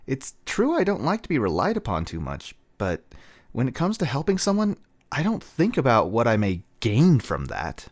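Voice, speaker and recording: Man acting lively, easygoing anime young man. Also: medium pitch, normal speed. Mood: casual, thoughtful. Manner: conversational, gently reasoning. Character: easygoing, caring young man, thoughtful and reasonable. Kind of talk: anime fan dub acting. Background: music.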